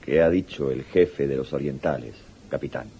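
A man's voice: extremely low pitch, normal speed, creaky, warm tone. A man playing a curt, imperious dictator in a radio drama, authoritative and clipped. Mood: cold, curt. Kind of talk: 1980s radio drama acting. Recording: dull, noisy old radio recording.

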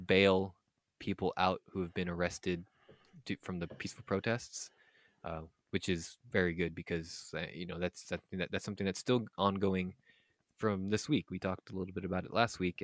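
A man co-hosting a podcast in casual conversation, speaking earnestly and haltingly and often repeating his words. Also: low-pitched, no room sound, studio quality. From United States. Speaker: podcast co-host.

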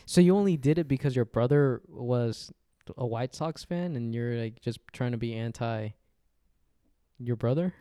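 A man's voice: mid-range voice, moderate pace, warm tone, clear, resonant tone, no room sound, clean studio sound. A young man hosting a casual podcast, joking and teasing his guest. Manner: joking, teasing, laughs often. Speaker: podcast host.

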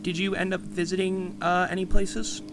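A man's voice: high-pitched, monotone, moderate pace, over music. A man hosting an interview podcast, introducing his guest and asking questions with a few uh fillers. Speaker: podcast host.

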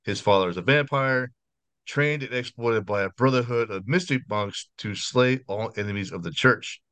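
A man's voice: medium pitch, normal speed, a bit bright, smooth, no room sound. A man hosting a horror podcast interview over a video call, chatty and apologetic, often restarting his sentences. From United States. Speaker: podcast host.

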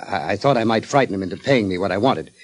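Quick, husky, nervous businessman. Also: fairly low pitch, lively intonation. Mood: flustered, defensive. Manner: flustered, stammering, pleading. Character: nervous, defensive male business manager under suspicion. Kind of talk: 1940s radio acting.

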